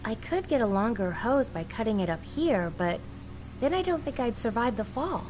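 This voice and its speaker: A woman's voice: a little high, very animated, normal speed, noisy background. A woman playing a plucky, wry teenage girl adventurer in a video game, musing aloud. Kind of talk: video game character dialogue.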